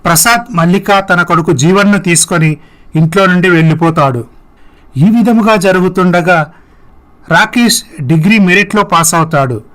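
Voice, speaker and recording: High-pitched male story narrator. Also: normal speed, a bit bright. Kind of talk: scripted moral story narration with acted dialogue.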